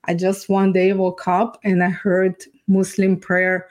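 Even-toned woman with a Ukrainian accent. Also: fairly low pitch, measured pace. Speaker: podcast guest. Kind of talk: personal testimony storytelling.

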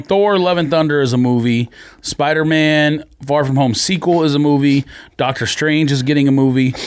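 An American man co-hosting a podcast in casual conversation, giving opinions in an informal, blunt way. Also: on the high side. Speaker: podcast co-host.

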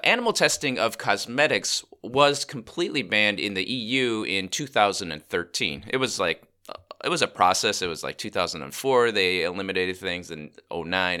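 A man's voice: lowish voice, sharp tone, clean tone. A middle-aged man co-hosting a podcast while he has a cold, chatting casually with fillers and restarts. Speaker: co-host. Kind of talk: casual chat.

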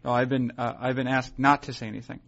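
A man hosting a podcast, talking in a wry, halting way full of fillers, sounding sleepy early in the morning in a hotel room. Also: medium pitch, little pitch movement, creaky, fairly bright tone, highs cut off. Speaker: podcast host. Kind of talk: rambling podcast intro and chat.

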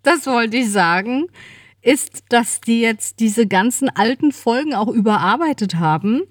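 A woman's voice: a little high, expressive, a little slow, no room sound. A woman in her fifties chatting casually and informally as a podcast co-host. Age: 50s.

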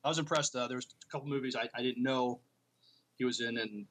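A man co-hosting a podcast, chatting casually in a plain, halting, understated way. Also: mid-range voice, steady tone, fairly bright tone. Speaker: podcast co-host. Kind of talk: casual chat.

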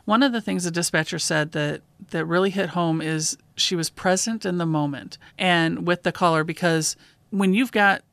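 A woman's voice: fairly low pitch, normal speed, creaky, few pauses, a bit bright, dry recording. A woman who works as a police dispatcher and hosts a show, talking through cases in a conversational commentary.

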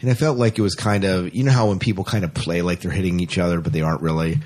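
A man's voice: deep voice, fairly even intonation, fast, a little mellow. A man hosting a podcast, chatting casually and emphatically as he gives a review. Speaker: podcast host reviewing a fan film.

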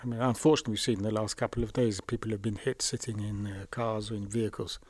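A man living on a border community, calmly explaining daily life with measured, hesitant phrasing. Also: on the low side, no room sound. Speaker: kibbutz resident. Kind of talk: casual interview answers.

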